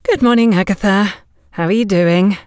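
A woman's voice: mid-range voice, normal speed, a little mellow, smooth, no room sound, clean studio sound, lightly acted. A woman voicing a courteous, guarded shopkeeper in an audio story, with a restrained manner. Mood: feigned pleasant. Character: polite, guarded woman shopkeeper, a worried mother.

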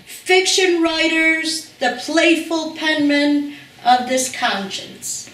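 Slow, high-voiced woman reading aloud. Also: background noise. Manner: slow, deliberate reading with self-corrections. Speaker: reader at a literary gathering. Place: living room of a large house, indoors. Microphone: far camera. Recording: echoey room from far off.

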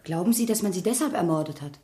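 An actress as a mellow-voiced young village wife. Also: female voice, fairly low pitch, animated, quick pace, close to an everyday voice. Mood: forthcoming. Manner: forthcoming, matter-of-fact. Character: earnest young village wife and anxious mother. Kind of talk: German radio play acting.

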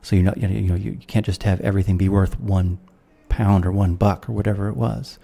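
A thirty-something American man talks through ideas at a measured, explanatory pace. His voice is dark and mellow with a touch of huskiness. He speaks into a studio mic on a video call. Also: deep voice, fairly even intonation, vocal fry. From United States. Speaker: guest philosopher. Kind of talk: podcast interview. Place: room at home with guitars. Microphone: studio mic with headphones, video call.

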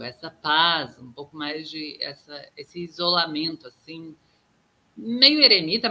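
Bright-voiced Gaúcho woman on a video call. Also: low-pitched, slight background noise. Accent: Gaúcho Brazilian Portuguese. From southern Brazil. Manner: reflective, rambling, frequent tag questions. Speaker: guest artist. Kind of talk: casual interview talk. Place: home room with plants, indoors.

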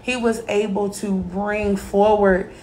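A woman's voice: mid-range voice, flat delivery, takes it slowly, mellow, slight background noise, rough recording. A young woman chatting casually at a kitchen table, telling things in a loose, quote-filled way. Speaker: music director. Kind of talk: casual chat. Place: home kitchen, indoors.

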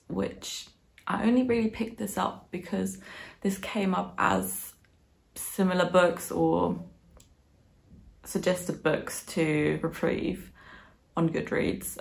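Book vlogger: woman in her 20s, bilingual in German and English, lowish voice with plenty of fry, informal and reflective. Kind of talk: casual chat to camera, book review. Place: small living room, on a sofa indoors.

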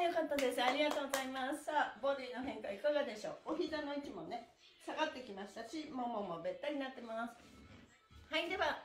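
A middle-aged woman leading a livestreamed stretching class in a cheerful, chirpy, encouraging manner, chatting to her viewers. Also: high-pitched. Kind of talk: upbeat exercise instruction, chatting to livestream viewers. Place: small indoor room with bare walls and wooden floor. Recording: echoey, roomy sound.

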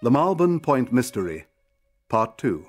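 A man's voice: mid-range voice, sing-song, measured pace. A man announcing the title and cast of a radio drama in a scripted, formal style. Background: prominent violin music.

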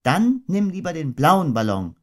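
A man's voice: on the high side, sing-song, unhurried, voice pushed up. A man putting on a young boy's voice in a storybook reading, giving plain, matter-of-fact replies. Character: kind, sensible young boy.

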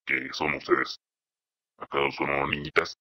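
A man's voice: level tone, a little slow, pushed and bright. A young man hosting his channel, joking and rambling while demoing a voice changer. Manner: joking, rambling, silly insults. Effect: deep voice changer. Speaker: channel host. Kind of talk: playful voice-changer demo.

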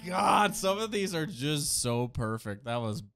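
A man's voice: a little high, big pitch swings, measured pace, gravelly, fairly bright tone. A man in his thirties giving casual, enthusiastic reaction commentary into a close studio mic. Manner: enthusiastic, exclamatory. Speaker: streamer reacting to game trailers. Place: small home studio room. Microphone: close boom studio mic. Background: game trailer music.

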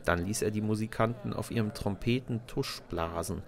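A man's voice: fairly low pitch, moderate pace, vocal fry, a crowd in the background. A man narrating a scripted children's story as a storyteller.

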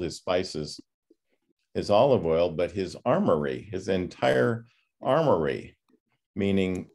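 An older man in his sixties, a pastor giving an informal class talk over a video call, reflective and halting with many pauses. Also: sits low, a little mellow. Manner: halting, reflective, often pausing. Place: home study with bookshelves, on a video call. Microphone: computer microphone on a video call.

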